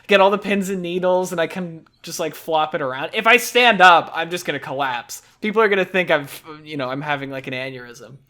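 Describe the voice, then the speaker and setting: A man co-hosting a podcast in casual chat, rambling along with stammered restarts and quick laughs. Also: a little high, bright, forceful tone. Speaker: podcast co-host.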